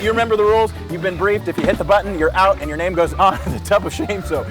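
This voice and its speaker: A woman's voice: medium pitch, fast, music in the background. A woman hosting a playful talk show interview, bubbly and teasing as she asks questions. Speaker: host.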